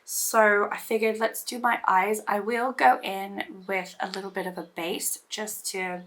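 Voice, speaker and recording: A woman with a hoarse voice still recovering from losing it, chatting casually about makeup while doing her look, rambling with many fillers and restarts. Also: mid-range voice, level tone, a little slow, fairly bright tone, rough recording. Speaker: beauty vlogger. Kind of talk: casual get-ready-with-me chat.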